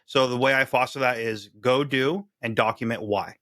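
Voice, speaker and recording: A man's voice: medium pitch, steady tone, moderate pace, sharp tone, no room sound, studio quality. A man guesting on a podcast, a team leader explaining his approach in a direct, practical way. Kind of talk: casual discussion.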